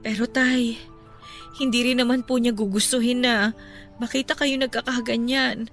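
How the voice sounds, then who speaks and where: An actress playing a caring grown daughter in a Filipino radio-style drama, speaking in a gentle, respectful, earnest and consoling way. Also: female voice, fairly high pitch, little pitch movement, moderate pace, soft background music. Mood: consoling, pleading.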